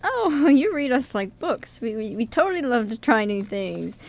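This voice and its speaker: Woman playing a bumbling, stammering young man, high voice. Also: sing-song, moderate pace, vocal fry, no echo. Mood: nervous, cheerful. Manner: heavily stammering, hesitant, self-deprecating. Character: bumbling, nervous, good-natured young man. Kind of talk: amateur radio play acting.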